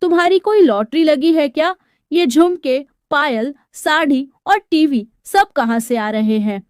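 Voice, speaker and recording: A woman's voice: high voice, unhurried, no echo, clean studio sound, voice pushed up. A woman voicing a suspicious working-class husband in a dramatised story, pressing and questioning. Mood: demanding. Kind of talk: dramatised Hindi moral storytelling.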